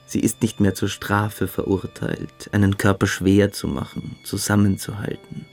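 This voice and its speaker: An actor playing a fanatical, cruel young cadet in a radio play, giving hypnotic commands that sink toward whispers. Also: male voice, on the low side, level tone, moderate pace, creaky, darkish tone, soft background music. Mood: rapt, fanatical.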